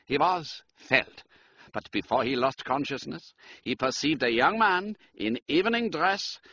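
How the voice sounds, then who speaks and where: A storybook German count, stiff and florid in manner. The voice is bright and forceful, swinging widely in pitch, with a slightly rough edge. The recording is a little muffled. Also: male voice, exaggerated voice. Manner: pompous, florid, ceremonious. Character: stiff, formal, effusive German count, royal equerry.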